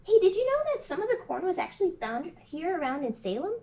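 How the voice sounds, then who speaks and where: A woman voicing an excitable, awkward teenage witch in a casual table read. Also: very high-pitched, quick pace, heavily acted. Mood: rambling. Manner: bubbly, rambling and awkward. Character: clumsy, excitable young witch girl.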